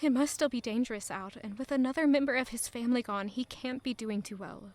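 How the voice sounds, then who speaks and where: Actress doing anxious young fantasy heroine, high voice, confiding and earnest, audio drama style. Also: female voice, a little fast, darkish tone, lightly acted. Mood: troubled reflection.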